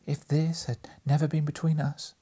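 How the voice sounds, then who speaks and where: A sorrowful young woman of the storybook world, performed by a male reader. The voice is raised, soft and breathy, with an earnest, gentle manner that carries quiet hurt. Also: on the high side, level tone, moderate pace, voice pushed up. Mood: sad, steady. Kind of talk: dramatic audiobook character reading.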